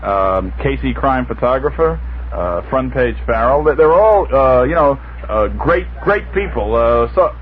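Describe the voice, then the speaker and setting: A man of medium pitch thinks aloud in a radio discussion. He halts and stammers his way through points, on a thin, band-limited vintage broadcast recording. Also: expressive, unhurried, phone-quality sound, slight background noise. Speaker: newspaper financial reporter, panel guest. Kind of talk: unscripted panel discussion. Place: radio studio.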